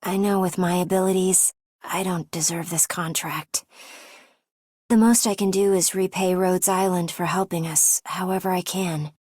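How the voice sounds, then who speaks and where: A woman voicing a humble, dutiful young female bodyguard in a video game, husky, plainspoken and sincere. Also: on the low side, steady tone, normal speed, darkish tone, dry recording, studio quality, close to an everyday voice. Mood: grateful, earnest. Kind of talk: game character voice acting.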